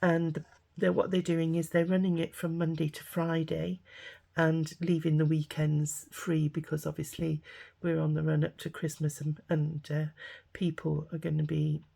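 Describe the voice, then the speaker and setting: A woman with a cold, croaky from a sore throat, chatting through a craft tutorial with lots of ums and restarts. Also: deep voice, little pitch movement, normal speed, mellow. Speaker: craft vlogger. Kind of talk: casual craft tutorial chat.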